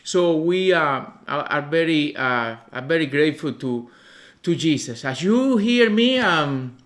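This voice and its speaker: A man from Cuba addresses a church congregation in strongly accented English. His voice is somewhat high, and he pauses often, reaching for words as he shares his ministry's story. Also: lively intonation, a bit bright. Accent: Cuban Spanish. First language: Spanish. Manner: halting, searching for words. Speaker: visiting ministry leader. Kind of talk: church testimony. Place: church sanctuary.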